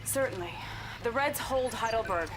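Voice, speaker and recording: An actress playing a tough, brash commando with a casual, confident delivery in a video game cutscene. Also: female voice, on the high side, lively intonation, normal speed, lightly acted. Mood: cocky. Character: tough, cocky American commando woman. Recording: noisy ambience with vehicle sounds.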